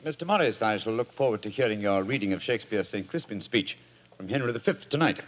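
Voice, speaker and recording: Man playing quick-talking british detective, formal n sure of himself, lo-fi old radio with slight hiss. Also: fairly low pitch, expressive, close to an everyday voice. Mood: assured, courteous. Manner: clipped, formal, assured. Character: brisk, courteous, self-assured British detective. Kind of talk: old-time radio drama acting. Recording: dull, faintly noisy old radio recording.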